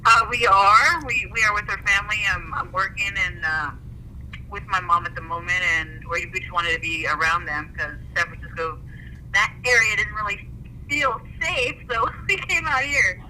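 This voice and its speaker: A woman in her thirties talks over a video call as a guest, chatty and quick to poke fun at herself. Her voice has a sharp, bright edge, and faint noise sits behind her. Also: mid-range voice, poor audio. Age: 30s. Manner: chatty, self-deprecating, laughs often. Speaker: fighter and guest. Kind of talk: casual video-call chat.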